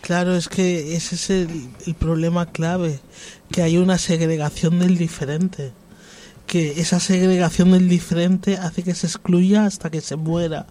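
A man's voice: high voice, little pitch movement, unhurried, dark tone. A man speaking reflectively in a group discussion, often restarting his sentences. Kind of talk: discussion about psychiatry.

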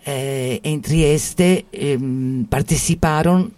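Slow-talking Italian man, halting Spanish. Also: fairly high pitch, a little mellow, no room sound. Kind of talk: discussion about psychiatry.

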